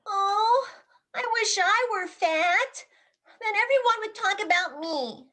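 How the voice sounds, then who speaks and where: A woman's voice: very high-pitched, expressive, takes it slowly, pushed and bright, full character voice. A woman playing a small, timid, wistful piglet in a play reading, with a plaintive, childlike manner. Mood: self-pitying. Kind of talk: dramatized livestream play reading.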